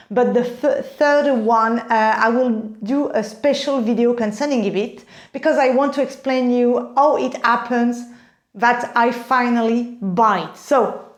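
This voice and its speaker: A woman's voice: on the high side, roomy sound. A woman from France with a French accent, speaking English as a second language to her viewers in a chatty, enthusiastic unboxing vlog. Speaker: video host, handbag collector.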